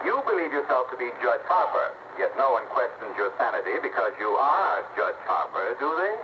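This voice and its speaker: An actor playing an assertive, theatrical lawyer with an emphatic delivery in a 1950s radio drama, on a muffled, noisy recording. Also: male voice, mid-range voice, big pitch swings, fairly quick, close to an everyday voice.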